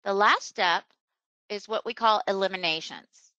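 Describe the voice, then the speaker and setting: A woman presenting a webinar as a software trainer, explaining a screen demonstration step by step in an unscripted way. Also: medium pitch, unhurried, no echo, dull audio. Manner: instructional, step-by-step.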